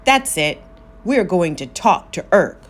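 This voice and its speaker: A woman's voice: lowish voice, sing-song, takes it slowly. A woman voicing plucky, earnest villagers in a children's storybook, with an emphatic delivery. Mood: fed up.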